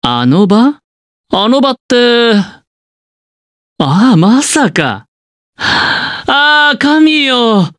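A man voicing a smug, scheming young gambler in a Japanese anime game, with smooth, teasing, theatrical delivery. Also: very high voice, big pitch swings, a bit bright, no echo, clean studio sound, full character voice. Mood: amused, teasing. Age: young adult. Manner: smooth, theatrical, teasing, drawn-out dramatic pauses. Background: background game music.

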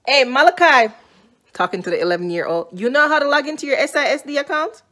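Teasing Jamaican mom on phone cam. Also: female voice, high-pitched, expressive, quick pace, pushed and bright. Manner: teasing, sassy, scolding with dry humor. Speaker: mother and vlogger. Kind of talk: casual family vlog. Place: small room and hallway of a house. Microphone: phone held at arm's length.

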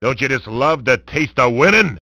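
An actor voicing a bombastic, wisecracking, gleefully violent sports announcer in a video game, with a gravelly, raspy voice and hammy delivery. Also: male voice, medium pitch, lively intonation, moderate pace, pushed and bright, no room sound, clean studio sound. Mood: wry, gloating. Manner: hammy, wisecracking, often bellowing. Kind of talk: over-the-top sports commentary for a video game.

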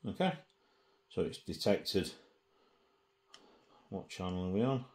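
Low-voiced, unhurried man. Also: darkish tone, a little hiss or hum. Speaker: presenter of a gadget review. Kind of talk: casual hands-on demonstration. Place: indoors at a table.

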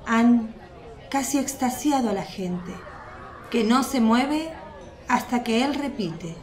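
A woman's voice: fairly high pitch, lively intonation, slow pace. A woman reading scripted narration for a radio drama. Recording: echoey, crowd murmur behind.

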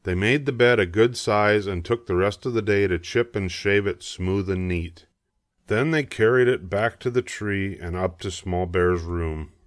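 An older man narrating a children's storybook, reading aloud in a measured, expressive way. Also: on the low side, no room sound, studio quality.

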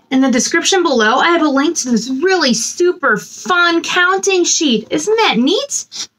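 Woman, 30s, kids' story time host; high, very animated, bubbly read-aloud in a small room. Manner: bubbly, sing-song talk to children. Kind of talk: reading a picture book aloud to kids. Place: small decorated room indoors.

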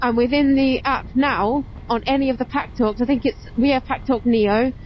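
Muffled, high-voiced woman on motorbike. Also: steady tone, normal speed. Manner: chatty, explanatory. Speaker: motovlog host. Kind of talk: casual vlog chat while riding. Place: on a motorbike on open roads. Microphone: helmet intercom mic. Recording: muffled helmet intercom mic with engine noise. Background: engine and road noise.

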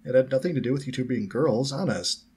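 A man's voice: mid-range voice, fast talker, darkish tone, breathy edge, pitched above the performer's own voice, lightly acted. A man voicing a protective, polite teenage schoolboy with a Scottish accent, in a storybook reading. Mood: friendly concern.

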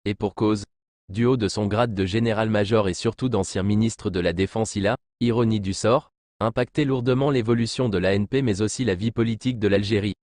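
Low-voiced man reading formal news narration. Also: fairly quick, a little mellow, no room sound, studio quality. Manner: formal, literary read-aloud delivery.

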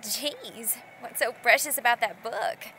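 High-voiced sassy 1940s dame. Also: female voice, unhurried, sharp tone, slight background noise. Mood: teasing. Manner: brash, slangy, playful. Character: sassy, forward young 1940s dame, teasing. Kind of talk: 1940s period audio drama acting.

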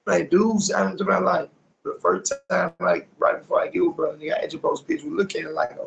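A young American man with a very gravelly, crackling voice that sits a bit high. He talks in casual, slang-heavy street talk on a phone video call, repeating phrases emphatically and tacking questions onto his sentences. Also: quick pace, highs cut off. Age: young adult. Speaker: rapper being interviewed. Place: small indoor room, on a video call. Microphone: phone at arm's length on video call.

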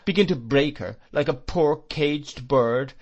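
A man's voice: fairly high pitch, measured pace, muffled recording, voice pushed up. A man reading an audiobook, voicing a fierce and outspoken elderly aunt who scolds emphatically. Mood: scornful.

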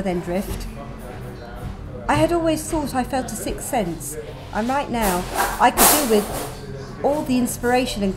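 A woman reading her own first-person story aloud in a gentle, wry manner. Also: fairly high pitch, rough recording. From England. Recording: music playing behind.

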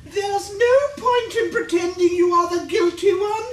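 A woman's voice: very high-pitched, a little slow. A woman playing a bossy, flustered school official in a British radio drama, with a commanding, dramatic delivery. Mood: scolding. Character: Mrs Dooley, bossy flustered middle-aged school official. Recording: roomy, distant, noisy background.

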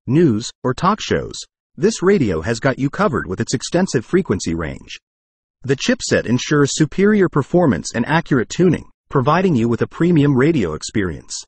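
A man reads a scripted product review with plenty of movement in his pitch. His delivery is promotional, like polished sales copy. The voice is slightly husky and recorded very dry, with no room sound. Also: darkish tone, no echo.

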